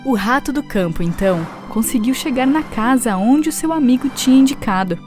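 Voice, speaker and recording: A woman's voice: high-pitched, expressive, fairly quick, a little mellow. A woman with a Brazilian accent narrating a scripted children's story. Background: music.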